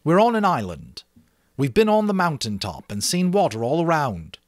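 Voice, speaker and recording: Man voicing earnest boy leader, raised pitch, emphatic speech-making, lively intonation, storybook reading. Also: moderate pace, no room sound, clean studio sound. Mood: earnest, assertive. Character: earnest fair-haired boy chairing a meeting as leader. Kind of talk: amateur dramatic reading of a novel.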